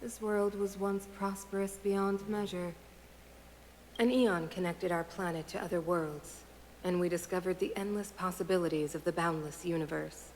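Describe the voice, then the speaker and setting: An actress playing a composed, commanding middle-aged female leader, speaking in a measured, regal manner in a game cutscene. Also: on the low side, steady tone, a little mellow, lightly acted. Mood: solemn. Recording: long hall reverb, faint noise.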